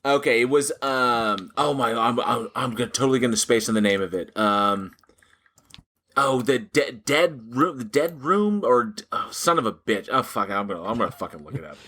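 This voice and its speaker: A man's voice: mid-range voice, animated, normal speed, fairly bright tone. A man co-hosting a casual talk about horror films, rambling, swearing freely and laughing often.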